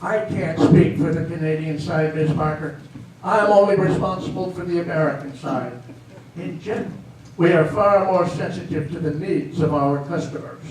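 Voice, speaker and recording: Man acting as smooth-talking male mayor, high voice, formal, stage reading, distant mic, faint noise. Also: normal speed, darkish tone, very echoey, lightly acted. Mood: defensive, formal. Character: self-important, smooth-talking American male small-town mayor. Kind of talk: amateur readers theater stage reading.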